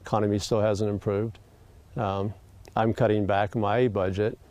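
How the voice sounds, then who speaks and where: Mellow, lowish middle-aged man. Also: level tone, moderate pace, no room sound. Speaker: interviewed resident, retired police officer. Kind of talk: unscripted interview soundbite.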